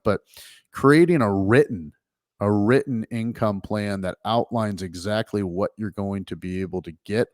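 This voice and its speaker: Low-voiced man explaining finances. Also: no room sound, studio quality. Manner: explanatory, many fillers and restarts. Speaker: financial advisor and show host. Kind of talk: casual radio-show talk.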